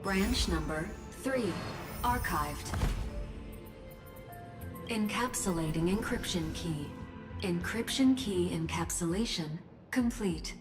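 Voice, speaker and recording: An impassive game computer that announces data in a very slow, formal, procedural way. Prominent music plays behind her. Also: lowish voice, expressive. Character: impassive female machine system announcing archived data.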